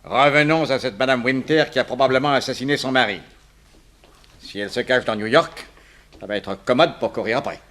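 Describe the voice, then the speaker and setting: A man's voice: mid-range voice, brisk, a bit bright, natural voice. An actor playing a brusque, self-important police inspector in a French radio detective drama, curt and bossy as he questions and gives orders. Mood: impatient, officious.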